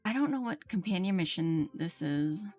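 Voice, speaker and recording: A woman's voice: lowish voice, lively intonation, moderate pace, a little mellow. A woman livestreaming a game, chatting casually as she thinks aloud and reads dialogue out. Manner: thinks aloud, playfully mimics game lines. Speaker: game streamer. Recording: game music behind the voice. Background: video game music.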